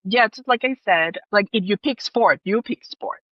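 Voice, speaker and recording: A woman's voice: medium pitch, moderate pace, no room sound, muffled recording. A woman from Thailand with a Thai accent, telling personal stories casually as an interview guest in her second language.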